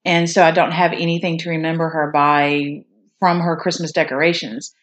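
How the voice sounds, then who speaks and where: A woman co-hosting a podcast in casual chat, plainspoken and quick to joke. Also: low-pitched, level tone. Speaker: podcast co-host.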